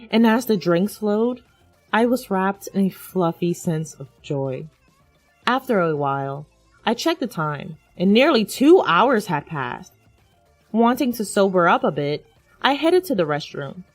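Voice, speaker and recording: A woman's voice: mid-range voice, lively intonation, unhurried, soft background music. A woman reading a scripted first-person dramatic story as its narrator.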